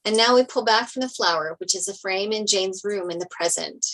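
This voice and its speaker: A woman's voice: medium pitch, brisk, fairly bright tone, rough recording. A woman reading stage directions as narrator in a table read of a TV script.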